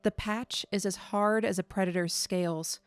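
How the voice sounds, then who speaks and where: A woman playing a stranded alien creature in an audio drama, stoic and reflective, with a deliberate, solemn way of telling things. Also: mid-range voice, fairly even intonation, clean tone, faint music behind, natural voice. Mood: solemn, explanatory.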